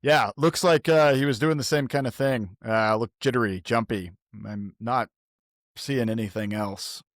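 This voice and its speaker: A man's voice: medium pitch, measured pace, no room sound, studio quality. A man playing a tabletop role-playing game, talking casually and tentatively as he thinks aloud.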